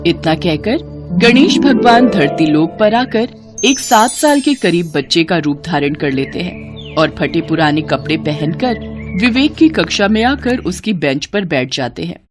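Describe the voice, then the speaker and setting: Lively woman narrating a moral story. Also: on the low side, measured pace, music in the background.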